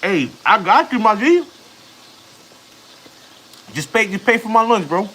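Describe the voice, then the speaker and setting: A man's voice: high-pitched, big pitch swings, a little slow, pushed and bright, slight background noise, poor audio. A man in his thirties, a family vlogger, chatting casually on a live stream in slang, rambling and chatty. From United States. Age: 30s.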